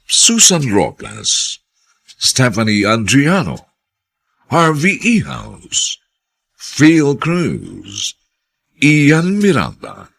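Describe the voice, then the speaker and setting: A man with a Filipino accent and a gravelly voice, announcing a radio drama in dramatic scripted narration. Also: mid-range voice, a bit bright.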